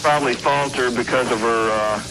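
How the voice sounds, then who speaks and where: A man calling in to a radio show by phone, chatting casually in a hesitant, halting way. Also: mid-range voice. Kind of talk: casual call-in chat. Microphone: telephone. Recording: noisy phone call on AM radio.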